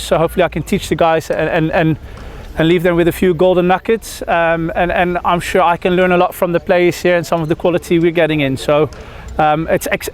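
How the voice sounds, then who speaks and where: Cricketer from the Netherlands, thirties, a touch high-pitched, halting, full of ums and restarts, outdoor press scrum. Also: male voice. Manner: hesitant, lots of fillers and restarts. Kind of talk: press interview.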